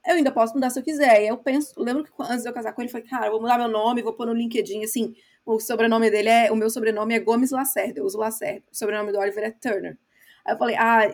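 A woman from Brazil in casual conversation, talking in long, winding, reflective sentences. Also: fairly high pitch. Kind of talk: casual chat.

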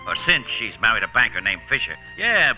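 An actor playing an obliging, talkative hotel bartender with folksy phrasing in a 1940s radio drama. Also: male voice, fairly low pitch, very animated, brisk, bright, forceful tone, soft background music, exaggerated voice, poor audio. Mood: gossipy.

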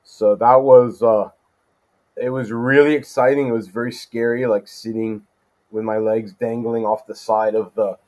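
A middle-aged man from California, a judge as podcast guest, answering in a thoughtful, measured way with frequent fillers. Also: medium pitch, level tone, darkish tone. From California, USA. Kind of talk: casual interview conversation.

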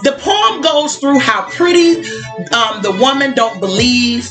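Female podcast co-host, chatty and quick to laugh, a bit higher voice, music underneath. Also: lively intonation. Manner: chatty, laughs often, calls people honey. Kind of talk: casual chat.

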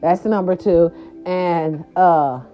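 A grandmother from California talking casually to her viewers, animated and chatty, with salty slang and lots of "right, right" fillers. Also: fairly low pitch, slow pace, soft background music. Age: older. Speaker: vlogger. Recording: dull lo-fi sound.

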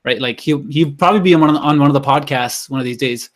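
A man from India, co-hosting a casual podcast and talking in an animated, slangy way. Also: a little high, quick pace. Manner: animated, slangy, talks over his partner. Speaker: podcast co-host.

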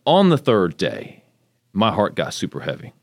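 A man's voice: deep voice, huge pitch swings, moderate pace. A man from Texas, a congressional candidate, chatting casually in an interview, rambling and storytelling about faith. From Texas, United States.

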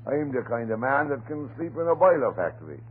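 A man acts a folksy, blustering desk sergeant from a vintage radio play, talkative and stubborn. His delivery swings widely in pitch. The old recording is narrow and tinny, with some hiss. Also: sing-song, fairly quick, close to an everyday voice. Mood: chatty, folksy. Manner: blustering, garrulous, folksy turns of phrase. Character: folksy, chatty, stubborn off-duty police desk sergeant neighbor. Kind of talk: old-time radio drama acting.

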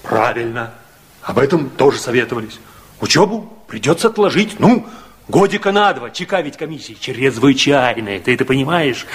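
An actor playing a firm, persuasive young-communist official in a radio drama, with an emphatic, instructive delivery. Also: male voice, mid-range voice, natural voice. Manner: emphatic, instructive, matter-of-fact. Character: brisk, persuasive male Komsomol official, comradely and firm. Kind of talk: 1960s Soviet radio drama acting. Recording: faintly noisy 1960s radio recording.

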